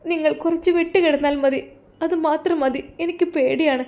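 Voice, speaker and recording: Frightened young bride, timid, pleading, raised pitch, a woman voicing her, spoken story. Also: steady tone, brisk, darkish tone.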